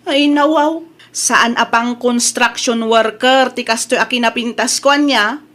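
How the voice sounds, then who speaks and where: A woman's voice: on the high side, fairly even intonation, measured pace, a bit bright. A woman playing a vain, haughty young daughter in a comedy audio drama, with a Filipino accent and a whiny, exclamatory manner.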